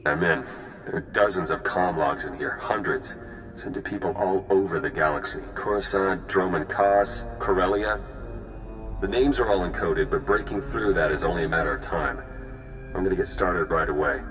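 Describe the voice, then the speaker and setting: A man's voice: low-pitched, normal speed, natural voice. A man voicing a practical, friendly tech ally in a video game, briefing in an informal, matter-of-fact way. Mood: focused, upbeat. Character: male slicer ally, eager, practical, friendly. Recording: loud music under the voice. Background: cinematic game music.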